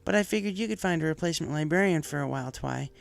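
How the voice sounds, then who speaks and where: A man's voice: a little high, rapid-fire, creaky, voice pushed up. A man voicing a brash, earnest young female pegasus pony in a story reading, casual and heartfelt.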